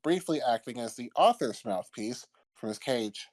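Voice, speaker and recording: Mid-pitched man narrating a story. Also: lively intonation, normal speed. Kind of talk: scripted comedic fanfiction narration. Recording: old-timey low-quality mic, background music.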